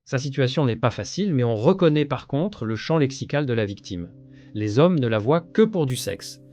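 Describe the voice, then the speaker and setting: A man's voice: medium pitch, expressive, normal speed, warm tone. A man narrating scripted commentary over clips in a blunt, lecturing way. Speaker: commentary channel host.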